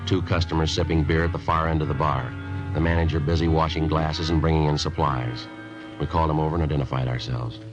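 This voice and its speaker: An American actor playing a terse, deadpan male police detective in an old-time radio crime drama. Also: extremely low pitch, fast talker. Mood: matter-of-fact. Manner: deadpan, matter-of-fact, terse. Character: clipped, unflappable male police detective narrating his case. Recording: dull old radio recording, music behind. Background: music.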